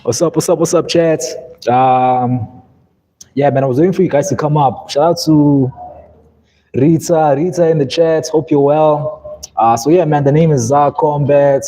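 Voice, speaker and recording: Mellow-voiced South African streamer. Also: male voice, fairly high pitch, fairly even intonation, moderate pace. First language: Zulu. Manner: laid-back, rambling, says "man" often. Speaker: live stream host. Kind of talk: casual live stream chat.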